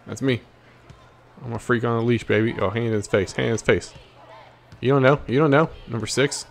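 A man commentates casually over a video game in a cocky, playful way, full of slang and trash talk. His voice sits at an ordinary pitch, with faint noise and a bouncing basketball behind him. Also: brisk, vocal fry. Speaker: gaming video host.

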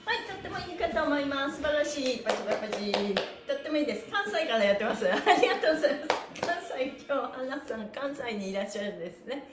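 A middle-aged woman with a high-pitched voice guides a livestreamed exercise class. She is cheerful, polite and full of encouragement. The mic sits well away from her, so the bare room echoes around her words. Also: lively intonation, fast, few pauses, music in the background. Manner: cheerful, encouraging, laughing often; polite, playfully adds honorifics to body parts. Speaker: stretching instructor, livestream host. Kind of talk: live exercise class with counted chants. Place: small bare-walled room with wooden floor, indoors. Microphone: camera mic a few metres away.

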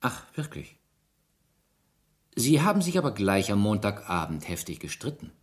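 Low-voiced, insistent inspector with lively intonation. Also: male voice, moderate pace. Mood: insistent, pressing. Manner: probing, insistent. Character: persistent male French police inspector questioning witnesses. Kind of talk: German radio drama acting.

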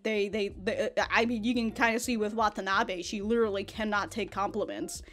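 A woman's voice: medium pitch, fairly even intonation, fairly quick. Rambling young woman reviewing anime. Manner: rambling, laughs often, swears, repeats words. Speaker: anime reaction host. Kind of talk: casual review chat. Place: small room with anime backdrop. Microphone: large foam-covered studio mic in front.

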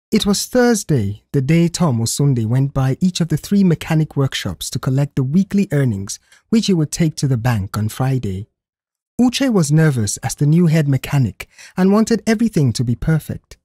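A man reading scripted story narration in an expressive, careful storytelling manner. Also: on the high side, moderate pace, slightly rough, no room sound, studio quality. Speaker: audiobook narrator.

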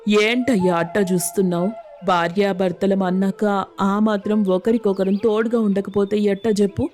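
A woman's voice: mid-range voice, flat delivery, moderate pace, a little mellow, natural voice. Woman voicing a devoted village wife, earnest and rustic. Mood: affectionate, grateful. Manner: rustic colloquial speech, earnest. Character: devoted, consoling village wife, grateful, pious and steadfast. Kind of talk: animated moral story voice acting. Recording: music under the voice.

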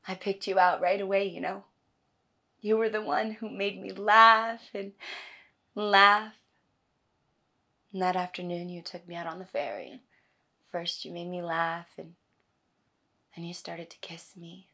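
A woman performing a stage monologue as a tender, wistful wife talking intimately and haltingly to her husband, often softly. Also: fairly low pitch, steady tone, heavily acted. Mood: wistful, fond. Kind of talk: theatrical audition monologue.

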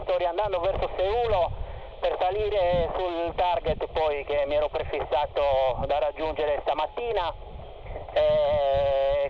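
Through a dull, noisy CB radio link, a high-voiced man chats casually as a fellow hobbyist. He is transmitting from a car. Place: moving car. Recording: muffled, noisy CB radio reception.

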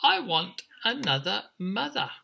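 A man's voice: sits high, very animated, a little slow, creaky, bright, forceful tone, a little hiss or hum, pitched above the performer's own voice, exaggerated voice. A man voicing a small, easily scared, indignant emu chick in a picture-book story, chirpy and exclamatory. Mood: frightened, indignant. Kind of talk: picture-book read-aloud.

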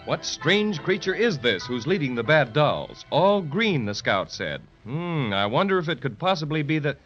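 A man's voice: on the high side, sing-song, normal speed, fairly bright tone, muffled recording, soft background music. A man announcing in dramatic 1930s radio serial style.

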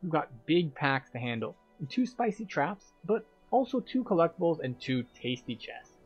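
A man's voice: fairly high pitch, very animated, moderate pace, husky, muffled recording. A man hosting a game tour, explaining each step in an instructional, lightly playful way. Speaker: host of a game playthrough guide. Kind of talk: guided game commentary, partly scripted. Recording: music playing behind the voice.

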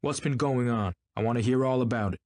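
A man's voice: medium pitch, brisk, darkish tone, no echo, voice pushed up, natural voice. A man voicing an indignant, sneering, pompous husband in an audiobook. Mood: indignant, incredulous. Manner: pompous, incredulous, snapping. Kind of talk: audiobook character acting.

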